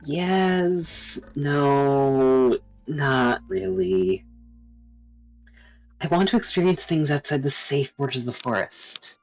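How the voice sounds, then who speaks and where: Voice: mid-range voice, lively intonation, takes it slowly, a little mellow, soft background music, poor audio. A performer voicing a wistful young forest ranger in a visual novel, earnest and dreamy, longing for adventure. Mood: yearning.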